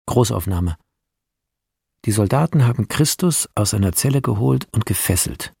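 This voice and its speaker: A man narrating a screenplay in a radio drama, in measured, terse phrases. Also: fairly low pitch, animated, gravelly, mellow, breathy edge, no echo, studio quality.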